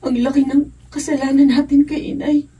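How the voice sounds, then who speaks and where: A woman's voice: sits high, level tone, normal speed, warm tone, far from the mic, lightly acted. A woman acting a meek, emotional young adult daughter full of remorse in a Filipino radio drama. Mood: wistful regret.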